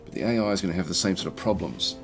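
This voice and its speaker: A man with a croaky, recovering voice giving casual, halting livestream commentary as he plays a strategy game and chats with viewers. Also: sits low, music in the background. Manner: halting, thinking aloud. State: croaky throat, recovering from feeling unwell. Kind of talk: casual game commentary, reading and answering chat.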